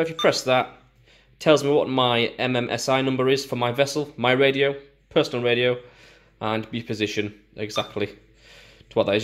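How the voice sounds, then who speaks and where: A man talks through a piece of gear at a medium pitch. He explains it informally and step by step, pausing and restarting as he demonstrates each part. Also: a bit bright. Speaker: gear reviewer. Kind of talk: casual hands-on product walkthrough.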